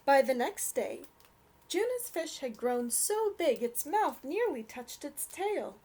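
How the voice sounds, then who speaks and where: A young adult woman reading a storybook aloud for children in an expressive, gentle way. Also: high voice, takes it slowly.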